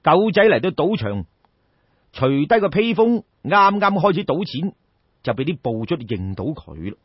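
Cantonese guy doing old-style storytelling, raspy voice, dull echoey recording, talks right to listeners. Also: on the high side, very animated, a little slow. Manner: colloquial, theatrical, addresses listeners directly. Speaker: storyteller, audiobook narrator. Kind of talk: traditional Cantonese oral storytelling, dramatic narration.

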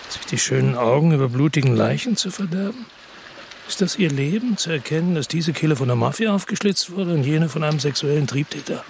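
A man's voice: a little high, animated, brisk, creaky, a little mellow, a little hiss or hum. An actor playing an embittered, defiant male loner in a radio crime drama, speaking in a terse, sardonic, evasive way. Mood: provocative. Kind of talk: radio crime drama with first-person narration.

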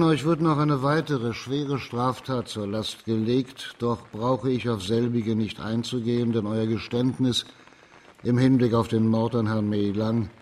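An actor playing a stern, dignified magistrate in a radio drama, speaking in a formal, measured and authoritative way. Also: male voice, mid-range voice, natural voice. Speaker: judge character.

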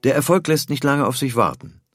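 A man's voice: medium pitch, animated, brisk, dry recording, clean studio sound, soft background music. A man reads scripted documentary narration as a narrator, in pronunciation.

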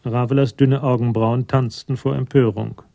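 A man narrating a radio crime drama as a detached storyteller, measured and matter-of-fact. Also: mid-range voice, level tone, creaky, warm tone, lightly acted.

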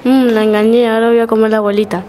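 A woman's voice: a little high, flat delivery, rapid-fire, background noise, natural voice. A woman voicing a sly, hungry wolf in an amateur storybook audio piece.

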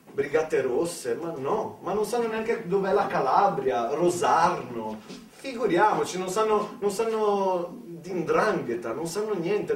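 A man performing a dramatic theatrical storytelling monologue, emphatic, with deliberate pauses and repetitions. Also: fairly high pitch. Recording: roomy, distant mic, faint background noise.

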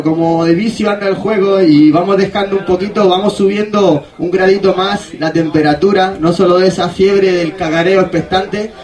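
A man from Spain with a high voice hosts casual radio. The talk rambles along and circles back on phrases as it builds. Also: darkish tone. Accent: Spanish, from Spain. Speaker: radio host.

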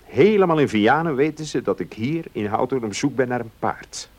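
An actor playing a genial, curious well-to-do gentleman in a Dutch radio play, speaking in a measured, polite way. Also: mid-range voice, lively intonation, lightly acted. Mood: pleasant, musing.

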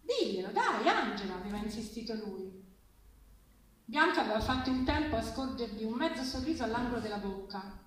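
A woman giving an expressive live reading of a literary story to a festival audience. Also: fairly high pitch, a little fast. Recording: very echoey, distant, faint noise.

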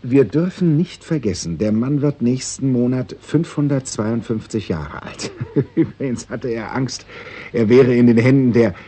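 A man's voice: medium pitch, dark tone, slightly rough. An actor playing a sly, self-satisfied male intelligence chief in a 1960s German radio play, wry and teasing. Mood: amused. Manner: wry, teasing, deliberately drawn-out.

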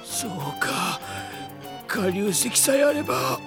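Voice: mid-range voice, lively intonation, slow pace, gravelly, over music. An actor voicing a cranky, theatrical old man of an ancient dragon tribe in a radio drama, speaking in a pompous, archaic way. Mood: musing, regretful. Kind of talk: Japanese radio drama acting.

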